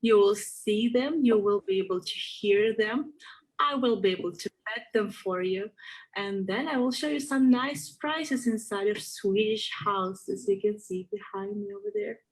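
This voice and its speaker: Friendly breathy woman tour guide. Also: mid-range voice, unhurried, low-quality recording. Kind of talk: promotional video.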